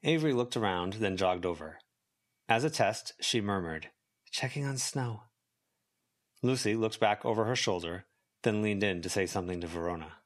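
A man's voice: fairly low pitch, lively intonation, a little fast. A man reading scripted fiction as an audiobook narrator. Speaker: volunteer audiobook reader.

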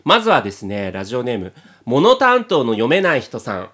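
A man's voice: mid-range voice, huge pitch swings, brisk, fairly bright tone. A man hosting a casual chat podcast and reading out listener messages. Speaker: podcast host.

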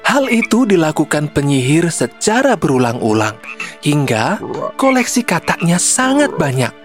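A woman storyteller narrates a children's tale. Her voice sits fairly low and moves with lively intonation. Faint music plays behind her. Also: normal speed.

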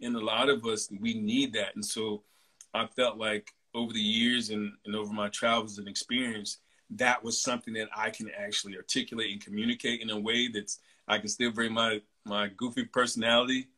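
A man in his mid-forties hosting a casual interview, talking in a relaxed, chatty way with plenty of fillers. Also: fairly low pitch, fairly quick. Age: 40s. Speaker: host.

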